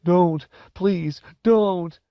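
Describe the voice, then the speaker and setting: A man's voice: high-pitched, extremely slow, smooth, pitched above the performer's own voice, heavily acted. A man voicing a fat, cowardly young boy in a storybook, sobbing, whining and pleading over and over. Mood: terrified sobbing. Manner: pleading, repetitive. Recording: roomy, dull lo-fi audio.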